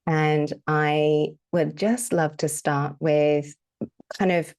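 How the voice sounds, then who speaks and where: Low, gravelly woman hosting a podcast. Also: fairly even intonation, very slow. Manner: soft, effusive, gently enthusiastic. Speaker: podcast host. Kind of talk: podcast intro and interviewing.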